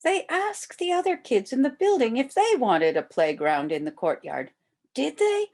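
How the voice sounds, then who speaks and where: An older woman reading a picture book aloud to young children as a volunteer storyteller, with expressive, drawn-out emphasis. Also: high voice.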